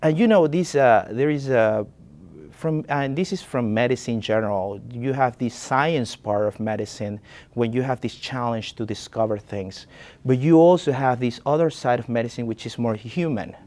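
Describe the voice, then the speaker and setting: A man in his thirties from Ecuador, a neurosurgeon, speaking earnestly about his work with frequent pauses. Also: medium pitch, a little mellow.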